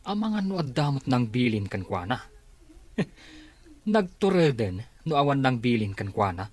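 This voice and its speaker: Animated, suspicious Ilocano office man. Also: medium pitch, moderate pace. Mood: wary, scheming. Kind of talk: Ilocano radio drama acting. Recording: music behind the voice.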